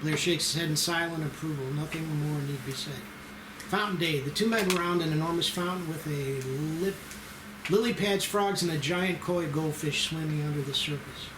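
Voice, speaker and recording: A man's voice: fairly high pitch, normal speed. A man narrating scene directions aloud at an amateur screenplay table read. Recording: roomy echo with clear noise.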